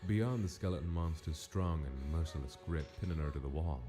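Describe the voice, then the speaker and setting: A man's voice: very deep voice, fairly quick. A man giving dramatic scripted narration for a story in a comic dub. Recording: loud music under the voice. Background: music.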